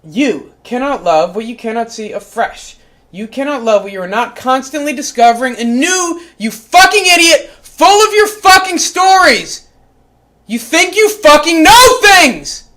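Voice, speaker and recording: A performer voicing a short-tempered, foul-mouthed cat who reads a spiritual book aloud, going from calm, measured reading to yelled, swearing rants. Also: sits high, full character voice. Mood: ranting.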